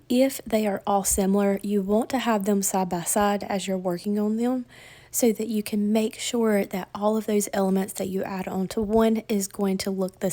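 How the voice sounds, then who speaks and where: A woman's voice: medium pitch, dark tone. A woman narrating a casual craft tutorial in a chatty, folksy way, her voice slightly crackly from feeling under the weather.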